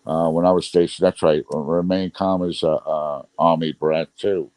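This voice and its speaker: A man's voice: a bass voice, steady tone, moderate pace, darkish tone, no room sound. A middle-aged man with a Brooklyn New York accent, chatting casually on a livestream, reading the chat and rambling with frequent laughs. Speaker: livestream host.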